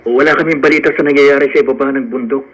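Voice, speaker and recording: A man's voice: medium pitch, steady tone, fast talker, a little hiss or hum, lightly acted. A man acting in a Filipino radio drama as an earnest, poor young suitor, lovelorn and proud, speaking solemnly. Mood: tender, earnest. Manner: heartfelt, solemn declarations.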